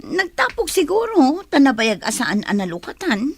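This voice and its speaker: A woman playing a nosy, fretful sweetheart in an Ilocano comedy radio drama, expressive, with an Ilocano accent. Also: high voice, normal speed, creaky, heavily acted. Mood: curious, suspicious. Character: worried, nosy woman, schemer's debt-ridden sweetheart.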